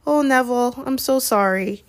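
A woman's voice: fairly high pitch, lively intonation, unhurried, dry recording, voice pushed up. A woman voicing an anxious, earnest schoolgirl in a storybook, apologetic in manner. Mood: sorry, miserable.

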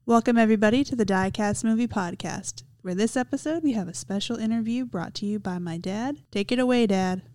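A woman's voice: mid-range voice, a little fast, few pauses, a little mellow, no room sound. A young woman reading a scripted podcast introduction as the announcer.

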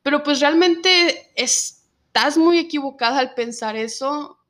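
A nineteen-year-old woman from Mexico with a Mexican Spanish accent, telling her own story in an interview and pausing often on fillers. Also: sits high, fairly bright tone. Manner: reflective, many filler pauses. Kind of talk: personal testimony in an interview.

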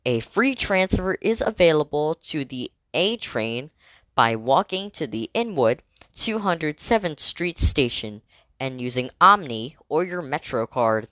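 A woman delivering scripted train announcements in a measured, formal, clearly segmented manner. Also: low voice, fairly bright tone, no room sound.

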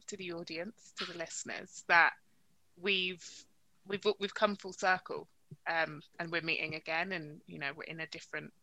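A woman acting as guest interviewer on a podcast, speaking earnestly and haltingly, often repeating words as she asks her questions. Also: lowish voice, pushed and bright, low-quality recording.

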